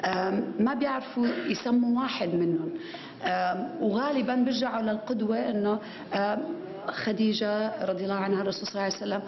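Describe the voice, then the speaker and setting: Woman giving a conference talk at a podium, lots of ums, echoey hall, bit muffled. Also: mid-range voice. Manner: animated gestures, many fillers and restarts. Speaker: conference speaker. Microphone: podium microphone.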